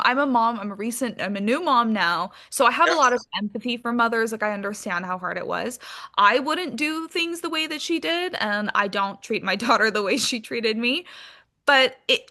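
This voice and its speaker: A woman with a bright, forceful, fairly high voice talks as an interview guest. She is informal and animated, telling stories about herself in a self-deprecating, chatty way. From Hong Kong and England, born in US. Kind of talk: casual interview chat.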